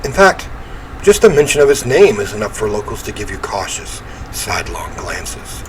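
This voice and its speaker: A man with a fairly low, very animated voice reads a visual novel aloud. He mixes dramatic narration with wry casual commentary, over faint background noise. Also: normal speed. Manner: throat-clearing, wry asides. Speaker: game streamer reading a visual novel aloud.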